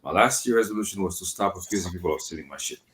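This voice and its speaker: A man's voice: low voice, animated, rapid-fire, a bit bright. A man co-hosting a podcast, chatting casually in a rambling, profane, laid-back way. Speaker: podcast co-host. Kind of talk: casual chat.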